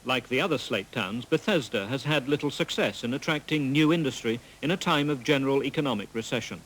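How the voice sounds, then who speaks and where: Measured male documentary narrator. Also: mid-range voice, fairly bright tone, slight background noise, low-quality recording. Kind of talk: scripted narration.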